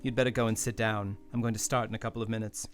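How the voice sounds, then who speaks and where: An actor in a radio play voicing a slick, crooked fake spirit medium with a commanding, measured way of talking. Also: male voice, on the low side, little pitch movement, soft background music, lightly acted. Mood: wary, slick.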